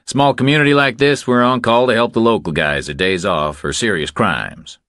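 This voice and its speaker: A man's voice: lowish voice, normal speed, a bit bright, dry recording, clean studio sound, pitched above the performer's own voice, natural voice. A man voicing a blunt young Texas Ranger sergeant in an audiobook, clipped, authoritative and unyielding. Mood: officious, firm.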